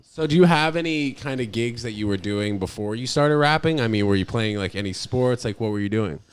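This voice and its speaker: A man's voice: mid-range voice, animated, fairly quick, smooth. An American man with a mid-range voice hosts a laid-back interview chat. He puts his questions in loose, slangy language, addressing the guest as a buddy. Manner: slangy, says bro and dude. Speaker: podcast host, interviewer.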